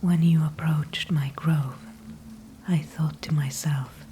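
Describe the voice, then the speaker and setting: An ancient, calm dryad, whispered by a woman in an ASMR roleplay, her voice low, hushed and unhurried. She speaks in a dark, mellow whisper with formal, old-fashioned phrasing. Faint ambience sits behind her. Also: slight background noise, poor audio. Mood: calm, knowing. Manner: soft unvoiced whisper throughout. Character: ancient female tree spirit, calm and wise.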